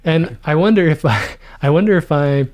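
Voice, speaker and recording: A man's voice: on the high side, animated, brisk, a little mellow. A man in his forties, co-hosting a podcast, chatting casually about films and thinking aloud with lots of fillers. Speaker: podcast co-host. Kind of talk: casual film-discussion chat.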